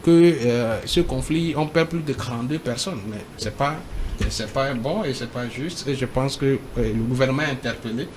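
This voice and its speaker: A man speaking as a debate panelist, halting and repeating himself but emphatic. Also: mid-range voice, slight background noise. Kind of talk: debate commentary.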